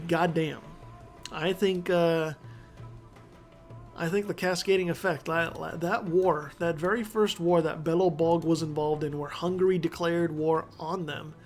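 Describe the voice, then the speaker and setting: Chatty congested man over soft music. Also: on the high side. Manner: chatty, rambling, self-correcting. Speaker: game commentator. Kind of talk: casual strategy game commentary.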